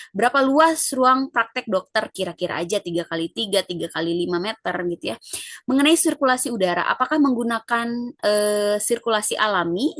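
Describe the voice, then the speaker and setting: A woman lecturer, explaining an assignment in a relaxed, conversational way with frequent fillers. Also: medium pitch, no room sound.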